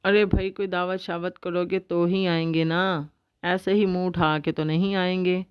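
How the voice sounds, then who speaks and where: A woman's voice: on the low side, little pitch movement, unhurried, clean tone, no room sound, highs cut off, lightly acted. A woman voicing a haughty, mocking rich sister-in-law.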